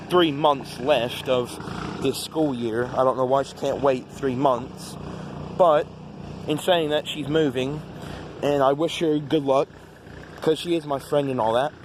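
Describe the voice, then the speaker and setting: Teenage American boy vlogging over loud outdoor noise. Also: a little high. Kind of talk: casual phone vlog recapping the day. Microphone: phone. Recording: noisy outdoor phone recording with traffic.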